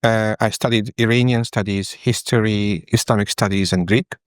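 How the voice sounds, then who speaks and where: A man from Hungary with a Hungarian accent, a professor giving formal, somewhat halting scholarly explanations as a guest. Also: lowish voice, fairly even intonation, clear, resonant tone, no echo, studio quality. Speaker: history professor, interview guest.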